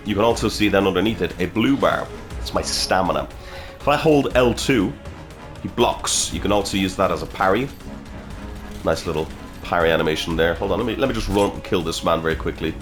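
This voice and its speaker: Deep-voiced man commentating gameplay. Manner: chatty, swears, reacts aloud while fighting. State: nursing a cold, a bit sniffly. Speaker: gaming video host.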